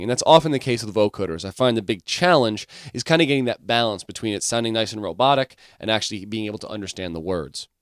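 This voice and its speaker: A man teaching music production software, explaining each step in an informal way. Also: fairly low pitch, dry recording, studio quality.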